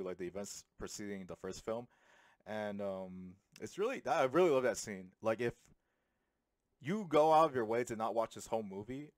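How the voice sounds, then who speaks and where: A man's voice: fairly low pitch, dry recording. Casual man, dry close-mic sound. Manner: animated, sweary, uses slang. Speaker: film reviewer. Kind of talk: casual, rambling comedic movie review. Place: small room at home. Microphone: handheld microphone held close to the mouth.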